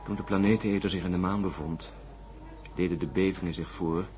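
An actor playing an earnest, insistent astronaut with a bold theory in a Dutch radio drama. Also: male voice, low-pitched, fairly even intonation, brisk, a little mellow, soft background music, natural voice.

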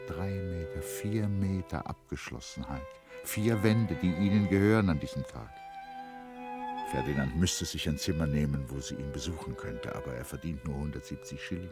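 Super deep male narrator, radio play, slow careful phrasing, strings in the background.

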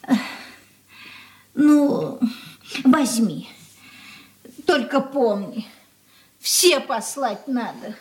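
A woman's voice: a little high, sing-song, extremely slow, long pauses, heavily acted. An actress playing a fussy, timid merchant-family mother in a 1980s Soviet radio play, homely and fretful. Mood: fretful, hushed.

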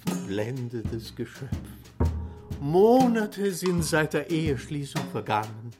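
A man delivering dramatic scripted narration in a radio play. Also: fairly high pitch. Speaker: narrator, commentator.

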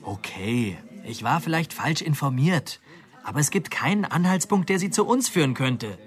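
An actor playing a cocky, smooth-talking young murderer in a radio drama, speaking in a brash, colloquial way. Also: male voice, a little high, expressive, moderate pace, close to an everyday voice. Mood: cocky, reassuring.

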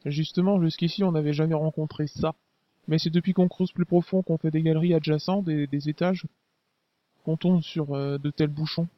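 A man's voice: fairly high pitch, fairly even intonation, moderate pace, no echo, highs cut off, natural voice. A man voicing a young male resistance fighter who talks in loose slang with frequent fillers. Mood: casual. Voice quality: casual slang, hesitant fillers.

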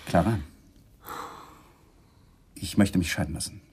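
Ein Schauspieler spielt in einem deutschen Krimihörspiel einen gereizten, innerlich zerrissenen Ehemann, theatralisch zwischen beherrscht und heftig. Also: male voice, low-pitched, slow, a little mellow, heavily acted. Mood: grave. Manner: theatrical, swinging from controlled to vehement. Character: exasperated, emotionally torn husband demanding a divorce. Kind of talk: German radio crime drama acting. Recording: 1980s radio play with clear noise.

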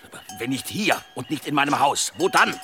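Gruff, animated village smith. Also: male voice, mid-range voice, fairly quick, soft background music. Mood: worried, pressing. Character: worried, gruff village smith seeking his daughter. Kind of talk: German audio drama acting.

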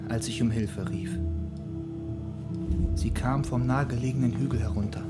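A man's voice: mid-range voice, steady tone, normal speed, over loud music, natural voice. A man playing Kuno, a haunted rebellious son. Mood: hushed. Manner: intense, haunted storytelling that swells to shouting. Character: young man, rebellious son who escaped underground world. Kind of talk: radio play acting.

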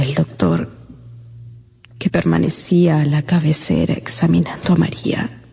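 A woman's voice: on the low side, gravelly, warm tone, breathy edge, exaggerated voice. An actress playing a sorrowful, tender young woman recounting a death in an emotional radio drama style. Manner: slow, emotional, pleading. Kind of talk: dramatised radio novel narration. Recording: music under the voice. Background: music.

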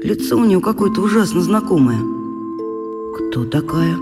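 Sulky young schoolboy, high voice, radio play, long reverb, loud xylophone music behind. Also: male voice, expressive, normal speed. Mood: wondering, wistful. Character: schoolboy son, sulky, curious, self-doubting. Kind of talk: audio play acting for children's radio. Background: loud mallet percussion music.

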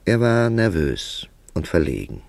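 Male radio drama narrator, weary ironic writer, slow measured phrasing, tightly dry studio sound, wry literary manner. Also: low voice, wildly swooping pitch, gravelly, a little mellow, dry recording, full character voice. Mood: wry, reflective.

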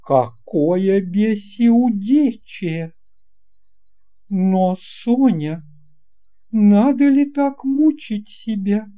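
A woman voicing an effusive, brisk elderly lady in a storybook tale, speaking in emphatic exclamations. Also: medium pitch, expressive, warm tone, pitched above the performer's own voice.

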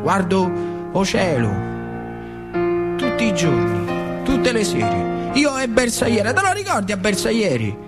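A man acts out an old worker from rural central Italy, telling his life as radio theatre. His accent is earthy northern Lazio and his speech colloquial and vivid. The voice runs high and swings widely in pitch, with music underneath. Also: wildly swooping pitch, takes it slowly, heavily acted. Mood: wistful, animated. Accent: central Italian, rural northern Lazio. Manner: colloquial, vivid, swinging between hushed and cried-out delivery. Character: old male factory worker recalling his wartime boyhood. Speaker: stage actor performing a monologue.

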